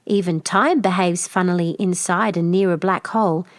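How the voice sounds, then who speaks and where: A woman's voice: lowish voice, fairly even intonation, normal speed, no echo, studio quality. A woman reading a children's picture book aloud as a scripted narration. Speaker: storybook reader.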